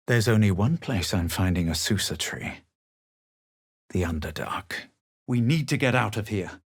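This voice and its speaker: A self-assured, superior spellcasting adventurer from a fantasy video game. The voice is low and husky, with an animated melody under formal, measured diction. It is recorded very dry and close to a game script's polish. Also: male voice, no echo. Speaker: video game player character.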